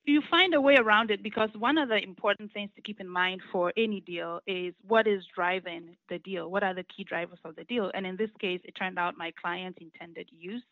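Woman explaining in measured tones. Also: mid-range voice, no room sound. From Ghana. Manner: measured, explanatory, with frequent fillers. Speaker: law firm partner. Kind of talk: interview answers. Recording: muffled lo-fi audio.

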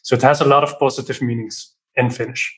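A man from the southern Netherlands with a Dutch accent, talking casually as a podcast guest and software developer. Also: medium pitch, fairly even intonation, quick pace. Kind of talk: casual explanatory interview talk.

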